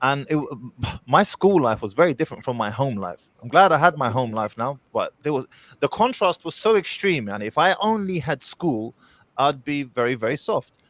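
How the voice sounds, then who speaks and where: A man's voice: medium pitch, big pitch swings, moderate pace, no room sound. Blunt man with a dry close sound. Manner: blunt, forceful. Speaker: podcast guest. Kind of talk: motivational podcast talk.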